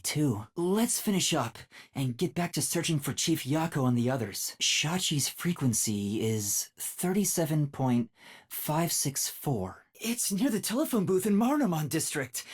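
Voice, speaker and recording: A man voicing an anxious, earnest young male detective in a video game, with a soft, light, boyish voice and a hesitant, polite delivery. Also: on the high side, slightly rough, dry recording, studio quality. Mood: focused. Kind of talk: English video game voice acting.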